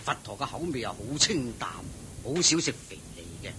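An actor playing a fussy, boastful, wealthy old head of a household in a radio drama, with a Hong Kong Cantonese accent, sighing and chuckling. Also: male voice, big pitch swings, a little fast, close to an everyday voice. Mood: fretful. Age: older. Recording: noisy old radio drama, low rumble.

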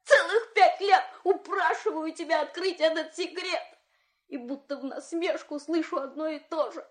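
A stubborn farmer's wife from a folk-tale audio play. Her voice is high, her delivery theatrical, made for nagging and complaint. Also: moderate pace. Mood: accusing, whining. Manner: whiny, theatrical.

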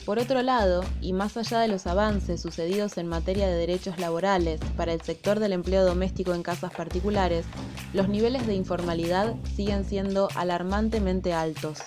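A woman's voice: fairly low pitch, fairly even intonation, brisk, music in the background. A woman with an Argentine accent reading scripted documentary narration.

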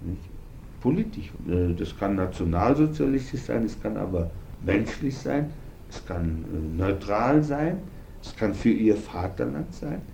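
Aging Nazi fugitive for radio drama: low, mellow, gravelly, boastful, halting self-justifier, faint noise behind. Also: male voice, animated. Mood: self-satisfied. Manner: rambling, halting, self-justifying, repeating himself. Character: unrepentant aging Nazi war criminal, boastful and bitter.

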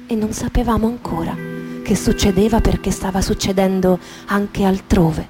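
A woman narrating a first-person literary monologue for radio theatre in measured, deliberate phrases. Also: mid-range voice, fairly even intonation. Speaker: actress narrator. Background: guitar music.

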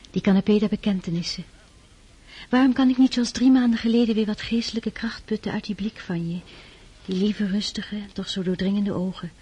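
Young noblewoman played by a woman, husky-voiced, gentle, pious and reflective, earnest period radio drama delivery. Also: mid-range voice, natural voice. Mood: tender, wistful. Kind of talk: Dutch radio drama acting.

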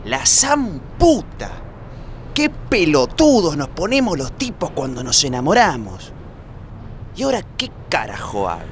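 A man's voice: fairly high pitch, wildly swooping pitch, a little slow, background noise. A man with an Argentine accent playing a hapless, wry detective who narrates his own case in a comedy radio play. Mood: exasperated. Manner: wry, swinging from narrator to exasperated.

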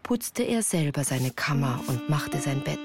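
An actress playing a woman who recalls her village childhood, narrating in measured, reflective literary prose for a radio play. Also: a bass voice, expressive, full character voice. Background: music.

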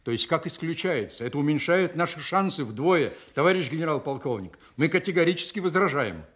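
A man acting an earnest, stubborn Soviet counterintelligence officer in a Russian audio drama, measured, formal and respectful to superiors. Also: on the high side, lively intonation, a bit bright. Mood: insistent, protesting.

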